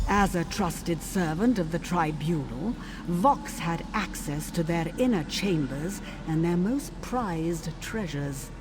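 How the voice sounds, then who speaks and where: A woman playing a sly, gleeful supernatural storyteller in a fantasy video game, theatrical and relishing each word. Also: on the low side. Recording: clearly noisy background.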